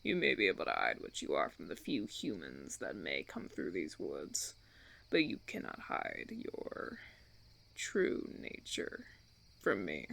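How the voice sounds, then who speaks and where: A man playing a smug, menacing vampire recruiter in an audio roleplay, with a gravelly, creaky voice and theatrical, taunting, drawn-out phrasing. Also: sits low, wildly swooping pitch, fairly bright tone, full character voice. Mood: commanding, intense. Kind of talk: ASMR audio roleplay acting.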